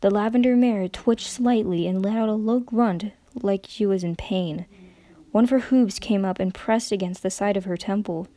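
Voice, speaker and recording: A woman narrates a story as a dramatic reading. Her voice is mellow and sits at a medium pitch, recorded very dry. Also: normal speed, no room sound.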